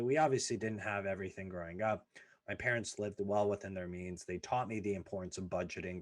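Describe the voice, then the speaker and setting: A man's voice: fairly low pitch, fairly bright tone. A man in his thirties hosting a podcast, telling his family's immigration story in an earnest, emphatic way, stacking lists and repeating phrases for weight. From former Soviet Union, raised in the US. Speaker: podcast host. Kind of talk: personal storytelling monologue.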